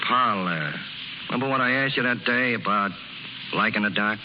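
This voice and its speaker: A man's voice: fairly low pitch, moderate pace, natural voice. An actor playing a tough, protective circus canvas man with blunt, slangy talk in a 1930s radio drama. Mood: gentle, hesitant. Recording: muffled, noisy 1930s radio recording.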